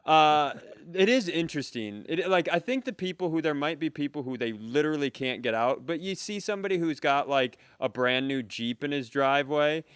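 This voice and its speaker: A man's voice: on the high side, lively intonation, fairly quick, hardly any pauses, fairly bright tone, smooth, dry recording, clean studio sound. A man hosting a comedy podcast, telling personal stories in casual conversation.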